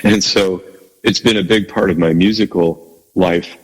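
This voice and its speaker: A man with a low bass voice, chatting as a guest in an interview, speaking haltingly and modestly with many restarts. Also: fairly even intonation, slightly rough, long reverb tail, low-quality recording. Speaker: guest musician. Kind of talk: casual interview chat.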